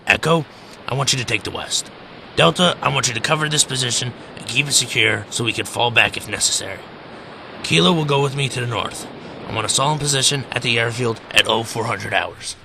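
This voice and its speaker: A man's voice: medium pitch, fairly quick, vocal fry, background noise, natural voice. A man playing a stern, commanding American army captain in an amateur World War II radio drama, speaking in dry, clipped orders.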